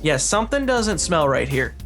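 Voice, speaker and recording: A man's voice: on the high side, big pitch swings, normal speed, a bit bright, faint music behind. A young man casually telling profane anecdotes to friends, acting out the dialogue as he goes. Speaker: podcast storyteller.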